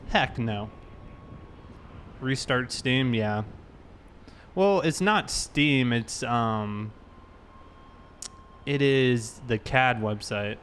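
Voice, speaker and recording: A man with a mid-pitched voice streams a game and talks casually to his viewers. He greets people in a friendly, informal manner. There is clear noise behind him. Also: very slow, pauses often, fairly bright tone. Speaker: live streamer playing a police roleplay game. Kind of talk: casual livestream chat.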